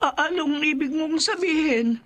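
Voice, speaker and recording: A woman's voice: sits high, moderate pace, a bit bright. A woman playing an anxious, bewildered relative in a radio horror drama, fretful and faltering. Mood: alarmed, confused. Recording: noisy rustling behind.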